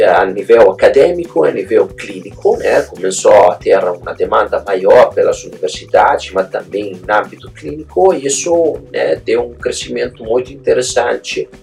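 A man from Italy with an Italian accent, hosting a live webinar in a casual, halting way with frequent fillers. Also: mid-range voice, vocal fry, darkish tone. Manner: frequent tag questions, hesitant fillers. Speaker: host.

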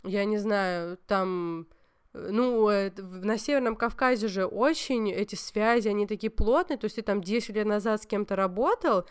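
A young woman chatting casually, telling animated stories full of fillers and asides. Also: medium pitch, moderate pace, clear, resonant tone. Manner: animated storytelling, many fillers. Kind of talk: casual chat.

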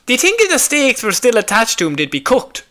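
A man with an Irish accent and a notably high voice co-hosts a comedy podcast. His delivery is casual and jokey, and he laughs readily as he chats through odd news. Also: huge pitch swings, fast, bright, forceful tone. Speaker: podcast co-host.

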